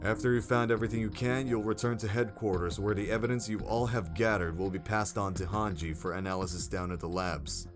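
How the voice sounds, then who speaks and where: A stern male commander played by a man with a low voice, formal and authoritative, addressing a hero team over prominent music. He speaks bluntly, in scripted drama style. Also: steady tone, a little fast, hardly any pauses, lightly acted. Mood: stern, instructive. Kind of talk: scripted audio drama dialogue.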